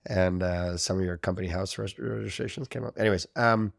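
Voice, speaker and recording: Guest, man, thirties, Californian accent, low and gravelly, relaxed rambling talker with a dry, close sound. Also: a little fast, no room sound. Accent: Northern Californian. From Russia, raised in California. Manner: relaxed, rambling, heavy use of "like" and "um", laughs often. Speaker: podcast guest. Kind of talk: casual interview chat.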